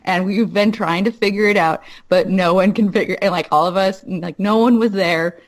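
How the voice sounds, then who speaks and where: A woman podcast guest telling a personal anecdote in halting, informal speech with casual swearing. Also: medium pitch, fairly even intonation.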